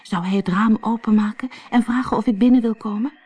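A storybook narrator voicing a timid, polite, hungry and poor little girl who pleads softly. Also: mid-range voice, steady tone, a little fast, slightly rough, pitched above the performer's own voice, close to an everyday voice. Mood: hopeful longing.

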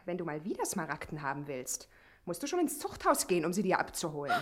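An actress playing a brash, scornful crime boss's moll in a 1960s German radio drama, sneering and needling in slang. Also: female voice, fairly low pitch, huge pitch swings, fast. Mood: sly threat.

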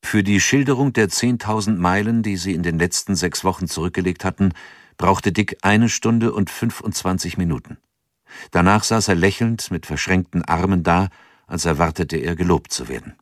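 A man's voice: deep voice, moderate pace, no room sound, clean studio sound, natural voice. A male narrator of a radio drama, reading in a sober, matter-of-fact way. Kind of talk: scripted narration.

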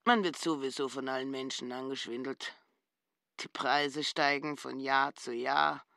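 An actress voicing a housekeeper's suspicious, greedy inner thoughts in a radio drama, muttering and often dropping into a whisper. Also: female voice, rumbling low, steady tone, measured pace, vocal fry, fairly bright tone, no room sound, clean studio sound, heavily acted. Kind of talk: radio drama interior monologue.

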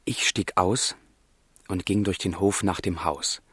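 Ardent young male storyteller, low-voiced. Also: unhurried, husky, no room sound, exaggerated voice. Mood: fond reminiscing. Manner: lyrical, expressive. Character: ardent, romantic young man narrating letters to a friend. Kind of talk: dramatised literary narration, radio play.

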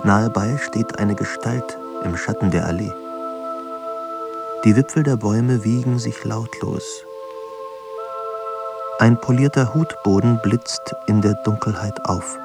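Deep, creaky male narrator over music. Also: slow, pauses often. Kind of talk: scripted literary radio drama narration.

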